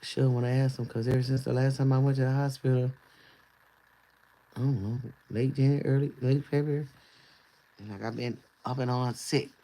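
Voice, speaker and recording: A man talks loosely on a livestream with a lilt, rambling from one thought to the next and laughing easily. His mid-pitched voice has a gravelly grain, and it is turning hoarse as he goes on. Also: level tone, fairly quick, long pauses, mellow, low-quality recording. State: voice going hoarse. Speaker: livestream host. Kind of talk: casual livestream chat.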